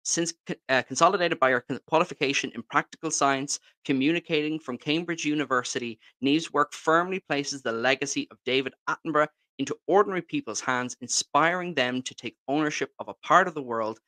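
Bright-toned Irish podcast host. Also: male voice, a little high, a little fast, hardly any pauses, no room sound. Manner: friendly, reads introductions. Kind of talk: podcast interviewing. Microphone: studio mic at home desk.

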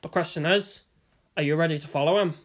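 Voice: mid-range voice, fairly even intonation, fairly quick, close to an everyday voice. A narrator doing a cruel, taunting male kidnapper. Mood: threatening. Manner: taunting, menacing. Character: cruel, leering adult male kidnapper, contemptuous bully.

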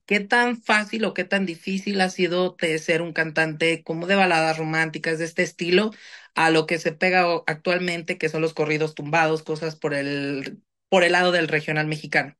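Bright-voiced young male interviewer on a phone. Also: sits high. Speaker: newspaper interviewer. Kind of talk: friendly interview questions. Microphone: phone video call, held close.

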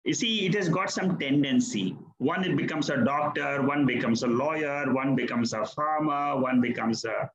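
A man's voice: mid-range voice, moderate pace, few pauses, roomy sound, highs cut off, a crowd in the background. An older man, a Vedanta teacher, patiently explaining answers to a student in an online class. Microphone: video call.

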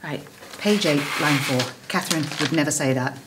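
A woman's voice: sits low, moderate pace, heavy background noise, natural voice. A woman playing a fiery middle-aged soap actress, cutting, sarcastic and sweary. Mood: angry. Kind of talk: naturalistic screen comedy-drama acting.

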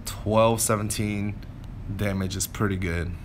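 A man with a fairly low voice talks through gameplay casually. He thinks aloud and explains things as he goes, over clearly audible background noise. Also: fairly even intonation, measured pace. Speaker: gameplay commentator. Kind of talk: casual game commentary.

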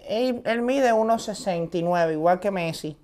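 A man's voice: high-pitched, normal speed, darkish tone, natural voice. Man putting on a brazen, drawling mother. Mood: rambling, deadpan. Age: young adult.

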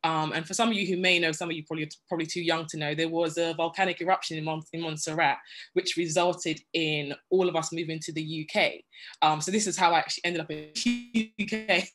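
A woman's voice: low voice, steady tone, fairly quick, a bit bright, soft background music. A woman from Guyana speaking as a panelist on a video call, talking earnestly with many restarts and fillers.

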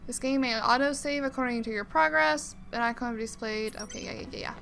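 A woman's voice: fairly high pitch, fast talker, creaky, fairly bright tone, slight background noise. A woman hosting a let's play, commenting casually on a game and reading on-screen text aloud.

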